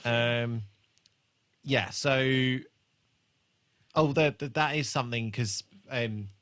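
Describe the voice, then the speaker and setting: A man's voice: medium pitch, slow pace, a bit bright. A man hosting a podcast, casually talking through a TV episode in a blunt, sweary way. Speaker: podcast host. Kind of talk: casual chat, TV episode discussion.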